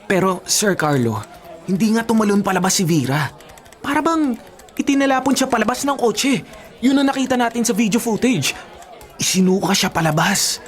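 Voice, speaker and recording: An actor with a Filipino accent playing a cautious, grumbling racing teammate who is easily talked round, in a radio drama. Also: male voice, sits high, expressive, normal speed. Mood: puzzled, tense.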